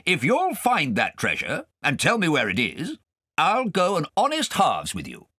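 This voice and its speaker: A male narrator voicing a stout, pompous, blustering gentleman guardian who fires off questions in an audiobook. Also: a little high, wildly swooping pitch, a little slow, slightly rough, no echo, clean studio sound, voice pushed up, exaggerated voice. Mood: blustering, scheming. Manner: pompous, quizzing with "eh?".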